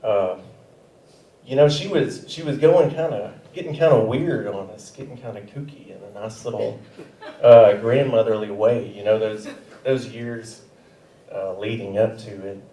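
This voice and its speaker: Southern guy doing standup, rambly and deadpan, roomy theater sound picked up from back a ways, faint room hum. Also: lowish voice, unhurried. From Arkansas, US South. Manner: laid-back, rambling, deadpan with self-corrections. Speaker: stand-up comedy student. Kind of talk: stand-up set. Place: comedy theater stage. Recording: roomy theater mic from a distance.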